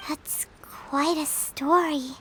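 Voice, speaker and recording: A woman voicing a tiny, childlike, naive fairy companion with a squeaky voice in a video game. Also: fairly even intonation, slow, pushed and bright, slight background noise, heavily acted. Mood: curious, subdued. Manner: speaks of self in third person, simple words.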